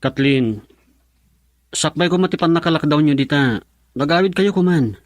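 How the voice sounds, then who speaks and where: A man's voice: on the high side, lively intonation, normal speed, no echo, natural voice. Troubled young husband in a dry studio played by a man. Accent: Filipino. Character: troubled, frustrated young husband, a grown son. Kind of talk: Ilocano radio drama acting.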